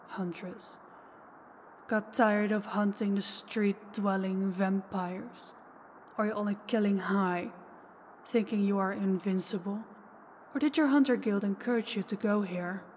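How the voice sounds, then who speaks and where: A woman playing an ancient, powerful vampire in an audio roleplay, slow, deliberate and condescending, with calm menace toward the listener. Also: medium pitch, lightly acted. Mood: scornful. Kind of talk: intimate scripted audio roleplay addressed to listener. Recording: long hall reverb, faint background noise.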